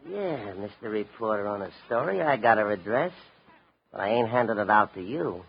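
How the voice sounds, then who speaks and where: An actor playing a surly, self-important stage manager in a 1950s radio drama, curt and sneering, with slangy speech and a husky voice. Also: male voice, on the low side, animated, normal speed, full character voice. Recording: muffled vintage radio recording.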